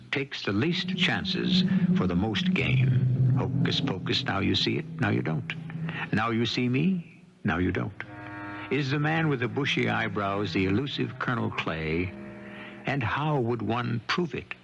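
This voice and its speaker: Gravelly theatrical mystery host. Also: male voice, medium pitch, lively intonation, very noisy. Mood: sly, teasing. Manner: theatrical, deliberate rhetorical questioning. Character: suave, teasing male mystery storyteller, theatrical and knowing. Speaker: host and narrator. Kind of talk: scripted radio drama narration. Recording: dull radio recording under loud music.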